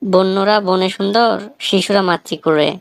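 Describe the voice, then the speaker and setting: A woman's voice: medium pitch, normal speed, vocal fry, clear, resonant tone, no room sound, close to an everyday voice. A woman voicing a witty, defiant young village man in a comic cartoon, with a northern Bangladeshi accent and a wry, argumentative manner. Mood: mock flattering. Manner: argumentative, wry, rhetorical questioning.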